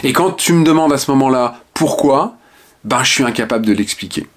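A man's voice: mid-range voice, lively intonation, fairly quick. A man in his fifties from the Ardennes, frankly telling his life story to the camera at home with hesitant fillers. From Ardennes, France. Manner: frank and reflective, many hesitant "euh" fillers. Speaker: author and online speaker. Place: small home room with shelves and lamp.

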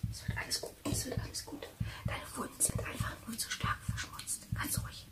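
A woman in her thirties playing a caring survivor who tends a wounded companion in a hushed, soothing, urgent ASMR roleplay. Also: fairly quick, close to an everyday voice.

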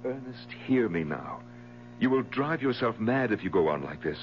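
An actor playing a sensible, concerned gentleman in a 1970s radio drama, reasoning earnestly with his friend. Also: medium pitch, lively intonation, normal speed, natural voice. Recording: muffled vintage radio broadcast with hum.

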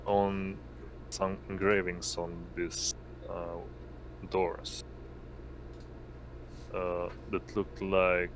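A man improvising narration as game master of a tabletop roleplaying game, speaking haltingly in short phrases. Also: low voice, a bit bright, slight background noise, poor audio. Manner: halting, pieces descriptions together in short phrases.

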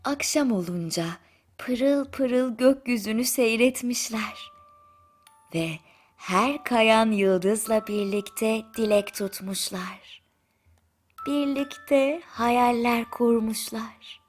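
A woman narrating a bedtime story in a soothing, gentle manner with drawn-out pauses. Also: a little high. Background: music.

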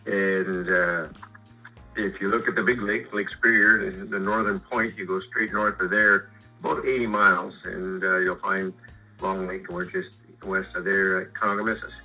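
Deep, raspy Canadian man on phone. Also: steady tone, bright, forceful tone. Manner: rambling, halting, repeats words. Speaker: homeowner and sawmill customer. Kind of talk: casual phone chat about his projects. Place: remote phone call. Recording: muffled lo-fi phone call, music underneath. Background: music.

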